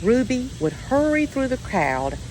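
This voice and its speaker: A woman reading a children's story aloud in a measured, expressive way with deliberate pauses. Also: sits high, background noise. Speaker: storybook reader.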